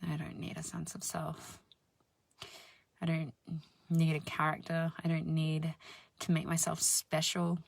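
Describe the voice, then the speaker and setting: A woman with a deep, husky voice giving a slow, soothing spiritual self-help talk, sometimes dropping into soft whispers. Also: monotone, no room sound. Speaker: spiritual healer and channel host.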